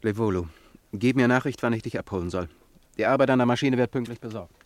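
An actor playing a brusque, distrustful, anxious conspirator with an urgent, clipped delivery in a radio drama. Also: male voice, medium pitch, quick pace, no echo, natural voice. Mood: tense, businesslike. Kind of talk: German radio play acting.

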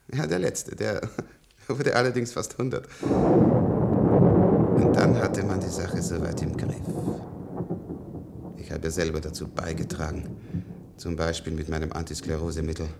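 An actor in a German radio play, playing a weary, very old scientist who talks hesitantly and reflectively in a husky, gravelly voice. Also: male voice, low-pitched, unhurried, long pauses, heavy background noise. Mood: explaining, wry. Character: weary, very old scientist with a longevity-treated middle-aged body.

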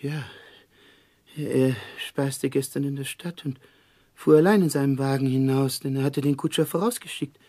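An actor playing an old, scatterbrained chief clerk who haltingly bears bad news in a 1960s German radio drama. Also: male voice, mid-range voice, steady tone, warm tone, natural voice. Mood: agitated. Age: older. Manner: halting, hesitant.

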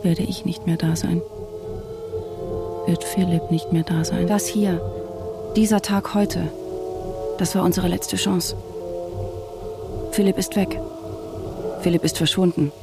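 An actress playing a brooding thirty-seven-year-old wife and mother in a radio drama, narrating her thoughts in a hushed, deliberate way. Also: lowish voice, steady tone, creaky, music in the background. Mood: bleak, hushed. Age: 30s. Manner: hushed, deliberate, short sentences, sometimes near whisper. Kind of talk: literary radio drama monologue, first person.

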